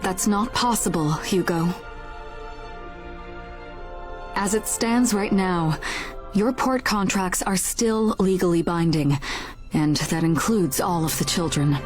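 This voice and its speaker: An actress playing a composed, shrewd woman in authority in a video game drama, with a measured delivery. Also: lowish voice, faint music behind, close to an everyday voice. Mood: measured, firm.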